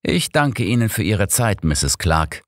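A man voicing a knowing old male detective, curt, assured and gruff, in an audiobook. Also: lowish voice, very animated, a little slow, no room sound, studio quality, pitched above the performer's own voice. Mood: brisk, assured. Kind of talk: audiobook character dialogue.

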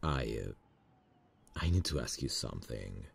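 A man acts out a tender, earnest male boss in a romantic audio roleplay. His voice sits extremely low and is husky and full of fry. He speaks intimately and hesitantly, like someone nervous and in love. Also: expressive, full character voice. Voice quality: very deep, gravelly, husky. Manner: hesitant, slow, soft-hearted.

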